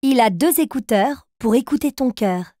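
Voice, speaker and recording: A woman's voice: a little high, expressive, moderate pace, mellow, a little hiss or hum, close to an everyday voice. An actress playing a patient, encouraging female teacher who talks to young children in a preschool cartoon. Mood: playful, teacherly. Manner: patient, encouraging, clearly addressing small children.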